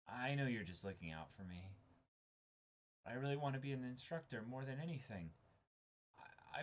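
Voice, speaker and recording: A man's voice: medium pitch, natural voice. A man voicing an earnest, flustered young woman in a manga reading, with hesitant, stammering delivery.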